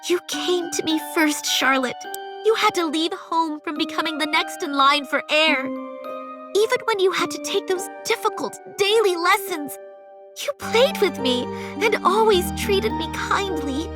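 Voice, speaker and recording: A woman's voice: very high voice, a little slow, music in the background. A woman playing a headstrong young noble girl, stubborn and devoted, in an anime-style game. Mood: earnest, pleading. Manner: animated, stubborn, emphatic.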